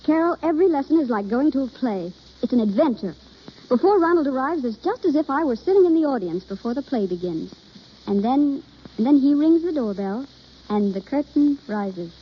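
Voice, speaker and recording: A woman's voice: high-pitched, lively intonation, a little fast, husky. An actress playing a shy, breathless young woman in love, in the style of golden-age radio drama. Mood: lovestruck, wistful. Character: transformed timid young woman, breathless and shyly lovestruck. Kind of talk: 1930s-40s radio acting. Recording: muffled vintage radio broadcast.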